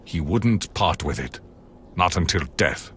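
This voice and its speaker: A man's voice: low voice, animated, unhurried, fairly bright tone, slight background noise. An actor playing a grieving, bitter villager in a video game, grave and reproachful as he pleads for help. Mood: pleading. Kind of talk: video game dialogue acting.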